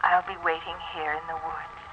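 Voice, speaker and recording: An actress playing a mystic, devoted young sweetheart as a remembered voice, dreamy and filtered, in an old radio drama. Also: female voice, deep voice, little pitch movement, moderate pace, a little hiss or hum. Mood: dreamy, promising. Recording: thin telephone-like old radio sound. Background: music.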